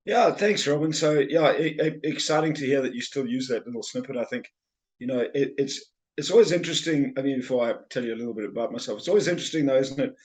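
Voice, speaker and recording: A man's voice: medium pitch, fast talker. A middle-aged man with a Zimbabwean accent, talking casually as an interview guest and often repeating words as he starts a thought. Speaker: guest, sales trainer and speaker.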